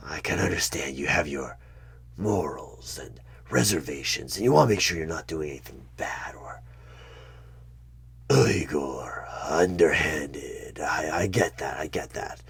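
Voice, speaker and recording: Man playing a whispering, very deep, sly demon. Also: expressive, fairly bright tone, husky, heavily acted. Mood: sly, defensive, persuasive. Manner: slow, drawn-out, insinuating, persuasive. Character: sly evil male demon recruiter, coaxing and tempting. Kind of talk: horror ASMR one-sided audio roleplay.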